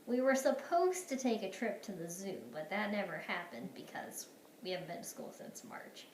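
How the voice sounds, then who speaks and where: A woman teacher doing an expressive picture-book read-aloud for her pupils. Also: medium pitch, moderate pace, far from the mic. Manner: expressive, animated storytelling. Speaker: schoolteacher reading to pupils. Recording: roomy, echoey, noisy home-style recording.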